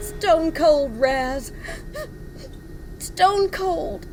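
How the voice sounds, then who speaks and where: A woman's voice: high voice, extremely slow, background noise, heavily acted. A woman playing a young, reluctant, emotional member of a space squad in an audio drama, pleading and informal. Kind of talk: sci-fi audio drama acting.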